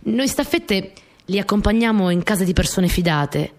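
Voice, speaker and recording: An actress playing a spirited young wartime partisan courier girl in an expressive radio theatre monologue. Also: medium pitch, moderate pace, close to an everyday voice. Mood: fond, confiding. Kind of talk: dramatic first-person monologue for radio theatre.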